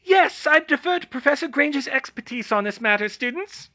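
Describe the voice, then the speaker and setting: A man reading aloud and voicing a small, squeaky, elderly professor who is polite and fussy. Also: expressive, brisk, pushed and bright, exaggerated voice. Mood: anxious.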